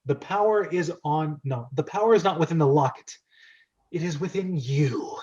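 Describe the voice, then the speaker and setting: A man reading an irascible, crusty old wizard, curt and portentous, with slow delivery. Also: fairly high pitch, a little mellow, lo-fi sound, close to an everyday voice. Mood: solemn.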